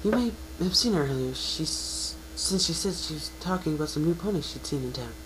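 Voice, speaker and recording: A woman voicing a shy, gentle young female pegasus pony, hesitant, polite and caring, in a fan dramatic reading. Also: deep voice, expressive, brisk. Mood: gentle, friendly. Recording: noisy home recording with mains hum.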